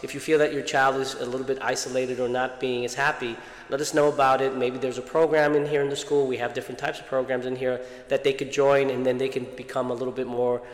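A man's voice: medium pitch, flat delivery, fast, hardly any pauses, echoey room, in a large hall. A man on the school staff interviewing a colleague, supportive and often restarting his sentences. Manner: supportive, repeats words as he restarts. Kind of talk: interview, informational outreach.

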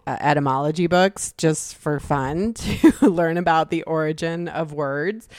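A woman in her thirties talks through a solo podcast in a loose, conversational way, often laughing and slipping into little acted-out inner voices. Her voice sits a touch low and sounds smooth and clear. It is recorded close and dry, with no room around it. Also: no room sound. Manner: conversational, reflective, laughs often, acts out quoted thoughts. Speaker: podcast host. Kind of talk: casual solo podcast talk.

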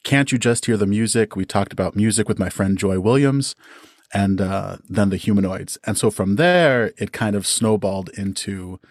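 A man with a fairly low voice talks as a guest on a podcast. He explains his thoughts conversationally, articulate and enthusiastic, in a dry, close-sounding recording. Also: normal speed, no echo. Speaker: storyteller and podcast creator.

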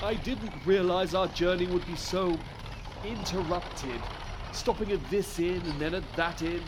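A genteel parson with a high voice, timid and easily flustered. He speaks politely and pleadingly, in period audio drama style, over clear noise of an outdoor journey. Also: a little slow. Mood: anxious. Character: timid, fretful, polite parson travelling by coach.

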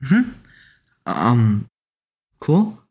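A man's voice: medium pitch, wildly swooping pitch, very slow, darkish tone, husky, full character voice. A man playing a shy, guarded, awkward young man who stammers hesitantly in an audio drama. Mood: nervous, guarded.

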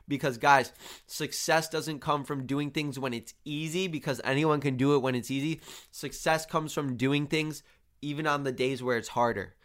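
A man's voice: medium pitch, steady tone, moderate pace, a bit bright. Congested, nasal man giving a motivational talk. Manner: earnest pep talk, repetitive emphasis, often addressing listeners as guys. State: congested, a little tired. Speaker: host and fitness coach. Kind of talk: motivational podcast monologue.

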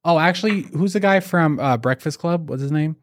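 A man's voice: a little high, brisk. A man hosting a podcast, chatting casually with many fillers like "yeah" and "like". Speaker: podcast host. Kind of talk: casual interview conversation.

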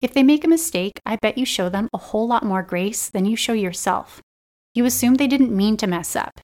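Earnest, slightly raspy woman podcaster. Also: a little high, fairly quick. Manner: earnest, gentle and encouraging. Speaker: podcast host. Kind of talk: personal self-help podcast monologue.

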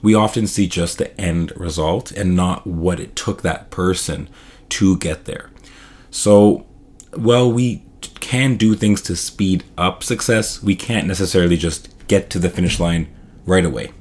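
A man hosting his own podcast in a casual, upbeat solo talk, his voice slightly hoarse. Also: low voice, measured pace, darkish tone. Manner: casual, upbeat, loose filler-heavy delivery. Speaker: podcast host.

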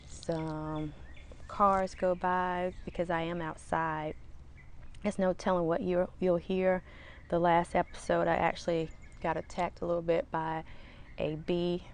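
A woman with a small, slightly raspy voice chats casually on her own podcast, rambling along with fillers. Her low voice has a gravelly edge. She is recording outdoors, with a faint hum of noise behind her. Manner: relaxed, rambling, chatty with fillers. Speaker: podcast host. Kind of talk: casual solo podcast talk. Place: outdoors in the sun.

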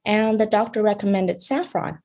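Soft-spoken Vietnamese-born woman guest. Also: mid-range voice, moderate pace. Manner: soft-spoken, fillers and restarts, light laughs. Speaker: beauty brand founder, guest. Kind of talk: casual interview talk.

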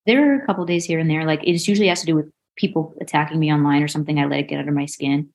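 Woman around 40, low voice, coach guest on an interview, blunt n casual. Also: very fast, darkish tone. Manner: frank, informal, sweary.

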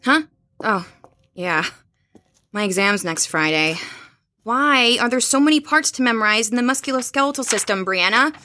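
An actress in her twenties playing a young woman student in an audio drama, talking in quick, exasperated chatter. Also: fairly high pitch, expressive, pushed and bright. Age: 20s.

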